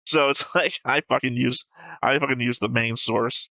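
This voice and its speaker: A man's voice: medium pitch, fairly even intonation, moderate pace, gravelly, pushed and bright, no echo. A man chatting casually as a reaction show host, blunt and argumentative, with frequent swearing. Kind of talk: casual chat.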